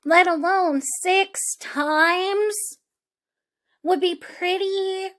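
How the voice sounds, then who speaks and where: A woman's voice: very high-pitched, steady tone, extremely slow, fairly bright tone. A woman hosting a true crime podcast, giving casual commentary in a conversational, opinionated and emphatic way.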